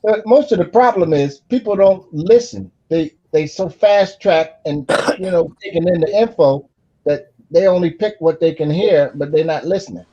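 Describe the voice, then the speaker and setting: Folksy American male, fairly high voice, casual joking delivery over a dull-sounding online call. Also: moderate pace, dark tone, slightly rough. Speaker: chat participant. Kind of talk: casual group chat.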